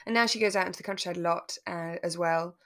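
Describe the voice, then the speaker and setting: A woman with a fairly low-pitched voice chats as a podcast co-host. Her delivery is casual and relaxed, as in an informal conversation. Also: quick pace.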